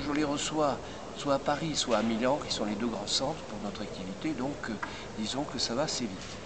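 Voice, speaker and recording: Older man, business recruiter type, measured and halting, heavy background noise. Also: mid-range voice. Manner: measured, many hesitations and restarts. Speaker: recruitment firm head. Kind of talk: interview answers.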